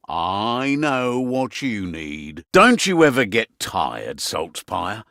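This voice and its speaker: A roguish, middle-aged innkeeper and spymaster for a video game. His delivery is unhurried and swings widely in pitch as he teases and grumbles in wry, colloquial banter. The sound is close and dry. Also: a bit bright, dry recording, heavily acted. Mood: coaxing. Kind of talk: video game character voice lines.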